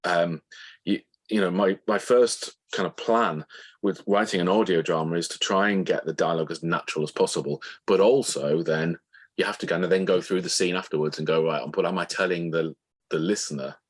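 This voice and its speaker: A man's voice: low-pitched, steady tone, brisk. A man speaking as an interview guest, thoughtfully explaining his writing in a conversational way, often rephrasing mid-sentence. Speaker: interview guest, author of novels and audio dramas.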